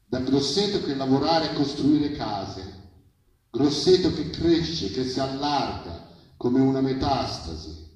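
A man's voice: medium pitch, big pitch swings, slow pace, a little mellow. A man performing spoken-word poetry at a live reading, in an incantatory manner built on repeated refrains. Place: live public reading, heard from a distance. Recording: very echoey, distant live recording.

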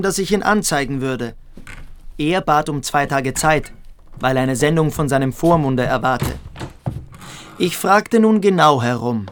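An actor playing a cold, self-assured military school cadet, recounting events in a clipped, matter-of-fact radio drama manner. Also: male voice, a little high, lightly acted.

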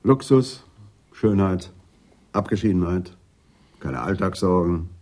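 An actor playing a calm senior police detective in a radio drama, measured and dryly wry. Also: male voice, deep voice. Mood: matter-of-fact.

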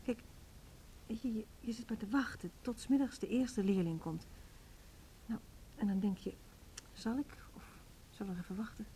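An actress playing an anxious, troubled music teacher in a radio play, confiding in a halting, subdued way. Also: female voice, on the high side, warm tone, lightly acted. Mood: ashamed, halting. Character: anxious, confessional woman music teacher with drinking problem. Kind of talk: Dutch radio play monologue. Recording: noisy old radio drama recording.

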